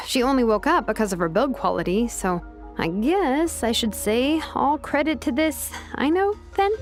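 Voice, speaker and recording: An actress playing a modest, analytical young craftswoman in a video game, with a casual, self-effacing manner. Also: fairly high pitch.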